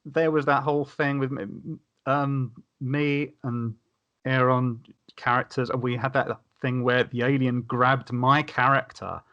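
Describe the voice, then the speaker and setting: Male panelist, medium pitch, conversational podcast delivery. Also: little pitch movement, unhurried, dull audio. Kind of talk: casual podcast chat.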